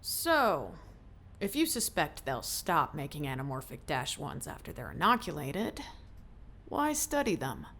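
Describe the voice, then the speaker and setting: An actress playing a brisk senior researcher in an audio drama, quizzing and slightly condescending. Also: female voice, low-pitched, big pitch swings, fairly bright tone, a little hiss or hum, exaggerated voice. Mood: brisk, probing. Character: brisk, probing woman senior researcher mentoring a junior.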